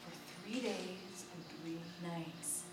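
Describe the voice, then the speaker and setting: A woman reading literary fiction aloud as a dramatic, deliberate stage narration in a theatre. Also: fairly low pitch. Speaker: stage reader. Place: live theatre performance. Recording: echoey theatre, distant mic, noisy.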